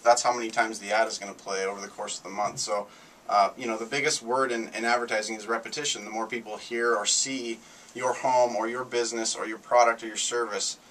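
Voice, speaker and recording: Bright, even-toned American man, slightly distant. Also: fairly low pitch. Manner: matter-of-fact, explanatory. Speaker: guest, local advertising business representative. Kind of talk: unscripted explanatory interview talk. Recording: noisy, distant-sounding radio show capture.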